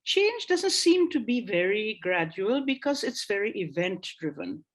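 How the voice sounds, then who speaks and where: Slow-talking woman lecturing over a call. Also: mid-range voice, big pitch swings. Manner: thinking aloud, with frequent pauses and restarts; reads out an old text in a slower voice. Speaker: guest lecturer on language history. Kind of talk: online lecture. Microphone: video call.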